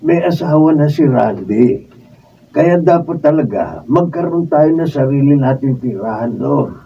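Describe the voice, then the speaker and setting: An actor playing a smug, easygoing middle-aged husband in a Filipino radio drama. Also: male voice, on the high side, lively intonation, unhurried, creaky, husky, full character voice. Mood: excited, smug. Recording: dull distant mic, faint noise.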